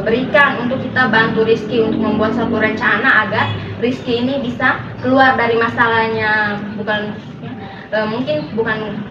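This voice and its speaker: A woman's voice: on the high side, far from the mic. A woman leading a group counseling session, encouraging the others and summing up what they say. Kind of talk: guided group counseling discussion. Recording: echoey, noisy, muffled pickup from afar.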